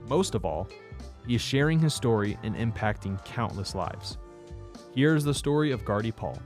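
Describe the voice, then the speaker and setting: A man's voice: mid-range voice, lively intonation, normal speed, over music. A man in his twenties hosting a podcast, reading a scripted intro and then asking easygoing interview questions. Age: 20s. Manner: easygoing, rephrases questions as he asks them. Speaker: podcast host.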